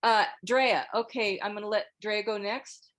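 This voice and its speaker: A woman's voice: medium pitch, steady tone, normal speed, a bit bright. Woman moderating a group call. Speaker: moderator of a script critique session. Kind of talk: casual group discussion. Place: online video call.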